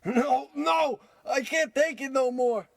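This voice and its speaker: A man's voice: very high-pitched, takes it slowly, dry recording, exaggerated voice. A man playing an exasperated small-time crook in a parody old-time radio play, whining and pleading in old gangster slang. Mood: desperate pleading.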